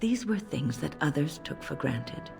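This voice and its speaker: An actress playing a wise older female mage in a fantasy game, speaking as a gentle but firm, reflective mentor in measured phrases. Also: sits low, very animated, vocal fry, music in the background, exaggerated voice. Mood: wistful, reflective. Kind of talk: dramatic game dialogue.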